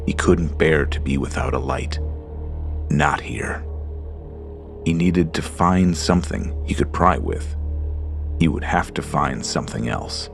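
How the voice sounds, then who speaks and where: A man narrating a scripted horror story in a measured, ominous way. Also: rumbling low, gravelly. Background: creepy music.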